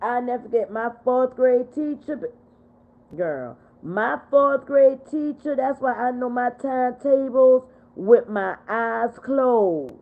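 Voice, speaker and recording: A woman in her fifties hosting a casual livestream chat, reading out viewer comments and answering them in an animated way. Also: fairly high pitch, takes it slowly. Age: 50s. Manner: animated, talks back to viewers. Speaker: livestream host. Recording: phone-like narrow sound.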